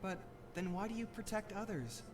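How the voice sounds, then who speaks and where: An actor playing an earnest, hesitant young village boy in an animated fairy tale. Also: high voice, normal speed, music in the background. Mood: earnest, curious. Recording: noisy ambience behind the voice.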